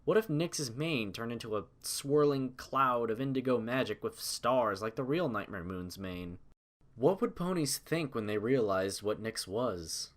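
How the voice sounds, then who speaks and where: A man's voice: medium pitch, expressive, measured pace. Man narrating a dramatic story.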